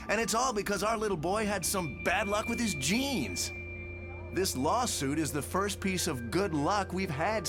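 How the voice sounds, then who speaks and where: A man playing an aggrieved working-class father in a motion comic, pressing and exasperated. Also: sits high. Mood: pleading. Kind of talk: motion comic character acting.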